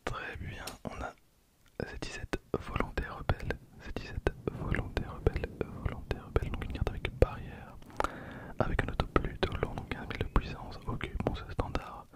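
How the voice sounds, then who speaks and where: A person in their twenties whispering casual ASMR commentary close to the microphone, with a breathy, unvoiced delivery. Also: normal speed. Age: 20s. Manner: hushed, casual asides. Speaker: ASMR video creator. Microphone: close handheld-recorder microphone.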